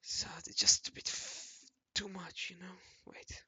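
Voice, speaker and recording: A man with a raspy voice talking casually to himself while he draws, mumbling and correcting himself as he goes. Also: sits high, level tone, takes it slowly, sharp tone, no room sound. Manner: mumbling and self-correcting, often murmuring half to himself. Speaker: hobby artist narrating his drawing session. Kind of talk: casual think-aloud commentary while drawing.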